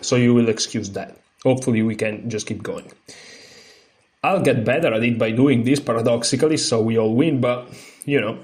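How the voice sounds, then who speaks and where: A man with an Italian accent, a non-native speaker, hosting a chess lesson, reading a book aloud haltingly with fillers and restarts, then explaining more freely. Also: mid-range voice, darkish tone. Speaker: chess channel host.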